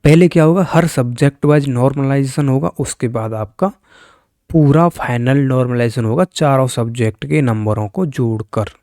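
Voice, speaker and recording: A man's voice: mid-range voice, moderate pace, warm tone, no echo, clean studio sound. A man giving an informal exam explainer in a blunt, emphatic way, repeating phrases to drive points home. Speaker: exam coaching YouTuber.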